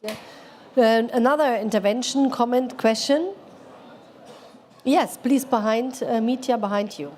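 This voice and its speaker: A woman moderating audience questions at a conference panel, brisk and lightly joking. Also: a little high, darkish tone. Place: conference pavilion.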